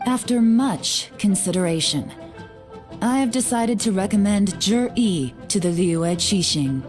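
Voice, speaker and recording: An actress playing a shrewd, confident female intelligence agent in a video game, speaking in a composed, measured, commanding way. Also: medium pitch, animated, darkish tone, soft background music, lightly acted. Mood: formal, assured. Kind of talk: dramatic video game voice acting.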